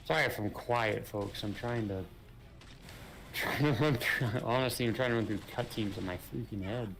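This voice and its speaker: A man's voice: lowish voice, normal speed, gravelly, a bit bright, a little hiss or hum. A man co-hosting a gaming video in casual chat, rambling as he thinks aloud and repeats his phrases.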